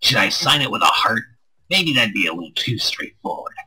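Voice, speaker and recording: Husky, bright-voiced man reading aloud. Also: medium pitch, very animated, fairly quick, rough recording. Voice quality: husky, strained throat. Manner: crude, joking, stumbles over lines. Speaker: streamer reading a visual novel aloud. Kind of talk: expressive read-aloud with casual banter.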